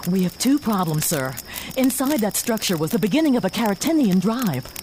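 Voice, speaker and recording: A woman's voice: mid-range voice, very animated, fairly quick, a little mellow, slight background noise, lightly acted, poor audio. A woman playing a dutiful rabbit starship lieutenant in a science-fiction audio drama, insistent and militarily formal.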